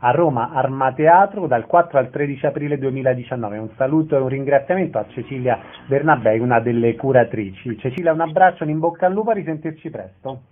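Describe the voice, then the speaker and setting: Through narrow, band-limited sound comes a man with a mid-pitched voice. He hosts a radio programme, putting questions and announcing events. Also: quick pace, hardly any pauses. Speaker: radio host.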